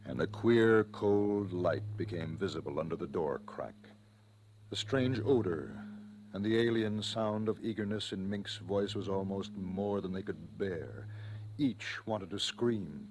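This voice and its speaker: Grave thriller narrator, lowish voice, suspenseful phrasing, vintage radio drama, noisy recording. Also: male voice, unhurried. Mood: ominous.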